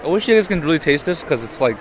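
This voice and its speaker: A man's voice: a little high, big pitch swings, quick pace. A man in his thirties hosting a casual tasting video, talking through each bite as he goes. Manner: chatty, reacts aloud while tasting. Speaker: host. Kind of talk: casual food-tasting street interview. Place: busy indoor expo hall. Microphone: handheld mic. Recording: crowd chatter and hall noise behind.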